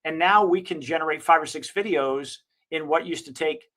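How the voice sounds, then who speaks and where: A business owner with a slightly higher-pitched voice than he expects, casually walking through a software demo with lots of fillers and self-corrections. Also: normal speed. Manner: enthusiastic, rambling, frequent self-corrections and fillers. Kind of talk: casual screen-share tutorial.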